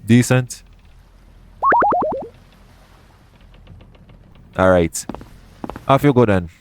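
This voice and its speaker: A man playing a roguish, caring pirate captain in an intimate audio roleplay, speaking in a soothing, gently teasing manner. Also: fairly low pitch, a little slow, very noisy, natural voice. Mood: gentle, reassuring.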